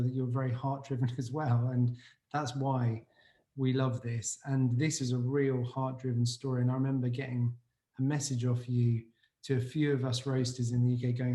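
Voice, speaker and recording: A man's voice: mid-range voice, darkish tone, roomy sound. A man hosting a casual podcast as a coffee roaster, talking enthusiastically and rambling a little with fillers.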